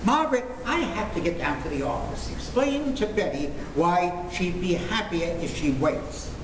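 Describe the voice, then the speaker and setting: A man's voice: high-pitched, big pitch swings, moderate pace. An actor playing an exasperated, sarcastic father in an old-time radio comedy. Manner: theatrical, dryly sarcastic. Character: exasperated 1950s sitcom father, sarcastic and blustering. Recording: noisy, echoey live stage recording.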